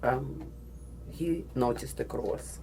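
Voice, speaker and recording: A woman's voice: extremely low pitch, vocal fry, warm tone, slight background noise, low-quality recording. A woman from the former Soviet Union with a Russian accent, giving a personal story speech in a studio. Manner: slow, deliberate, with pauses. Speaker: guest speaker.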